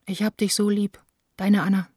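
Actress, young woman, mellow timbre, dry close sound, passionate and wounded, intimate plainspoken letter monologue, radio play. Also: mid-range voice, level tone, normal speed, slightly rough, no room sound, close to an everyday voice. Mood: wistful, tender.

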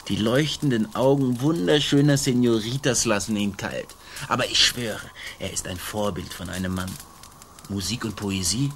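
An actor playing a boastful, swaggering Spanish colonial army sergeant in a theatrical, declamatory radio play style. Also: male voice, fairly low pitch, moderate pace, a little hiss or hum, close to an everyday voice. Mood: admiring, declamatory.

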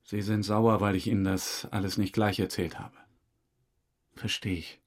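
An actor playing a chummy, self-satisfied murder suspect who breezily dodges questions in a German crime audio drama. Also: male voice, fairly low pitch, little pitch movement, moderate pace, close to an everyday voice. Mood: unrepentant, confiding. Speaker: suspect under interrogation.

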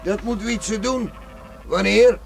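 A man acting in a radio drama as a gruff, busy neighbouring farmer, speaking in short, folksy phrases. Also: sits high, fairly even intonation, takes it slowly, music in the background, exaggerated voice. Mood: brisk, neighbourly. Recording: noisy old radio play recording.

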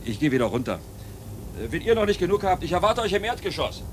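A man's voice: sits high, very animated, fast, slight background noise. An actor playing a polite, evasive uncle in his thirties, a drag dancer, in a satirical radio play. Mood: weary. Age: 30s. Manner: polite, hesitant, defensive.

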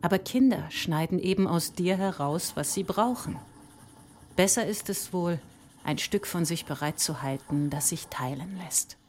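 A woman playing a reflective, candid mathematician and mother in a measured, introspective literary monologue. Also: lowish voice, darkish tone, a little hiss or hum, close to an everyday voice. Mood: quietly reflective. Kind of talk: literary first-person monologue over ambience.

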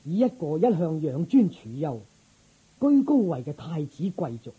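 An actor playing a respectful, attentive royal attendant in a Cantonese radio drama, formal and deferential. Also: male voice, fairly high pitch, sing-song, normal speed, slightly rough, phone-quality sound, exaggerated voice. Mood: humbly puzzled.

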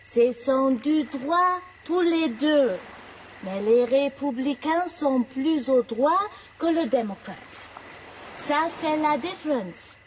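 An actress playing a good-humoured young American tourist, lightly teasing in radio drama dialogue. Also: female voice, high-pitched, lively intonation, slow pace, slight background noise, low-quality recording. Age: young adult.

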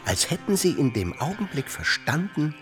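A man's voice: on the high side, very animated, moderate pace. An actor playing an eccentric, insistent male party guest, probing and theatrical, in a radio play. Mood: knowing, intrigued. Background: music.

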